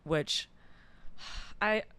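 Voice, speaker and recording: A woman in her twenties with a deep, raspy voice, a singer-songwriter chatting candidly as a podcast guest. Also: extremely slow, sharp tone. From New York. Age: 20s. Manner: chatty, candid, peppered with "like" and laughs. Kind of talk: casual interview chat.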